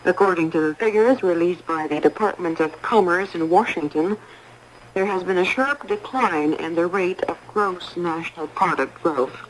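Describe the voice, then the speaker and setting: A woman reading a formal scripted radio news bulletin in a measured, impersonal way, on a 1980s shortwave broadcast. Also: lowish voice, slight background noise, poor audio. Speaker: newsreader.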